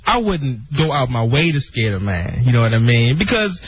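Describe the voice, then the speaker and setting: A man's voice: medium pitch, very animated, normal speed, breathy edge, no echo. A man from the Washington DC suburbs, a guest bantering casually on a radio show. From Maryland, near Washington DC. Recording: dull lo-fi radio sound.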